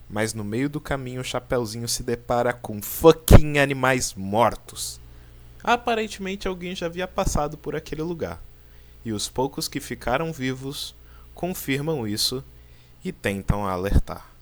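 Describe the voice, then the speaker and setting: A man with a Brazilian accent gives casual explainer commentary, informal and pausing for drama. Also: mid-range voice, lively intonation, a little hiss or hum. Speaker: video creator and narrator. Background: background music.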